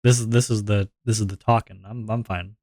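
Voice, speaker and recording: Deep voiced guy yapping to chat, dry close mic, kinda rambly. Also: steady tone, a little fast, darkish tone, no echo. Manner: rambling, self-reflective, repeats words. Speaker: streamer. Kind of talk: casual chat to stream viewers.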